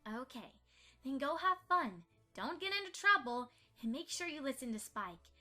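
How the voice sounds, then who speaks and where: A woman's voice: high-pitched, big pitch swings, normal speed, sharp tone, faint music behind, exaggerated voice. A woman playing a kindly, motherly pony mare in an amateur audio drama. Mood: gentle, motherly.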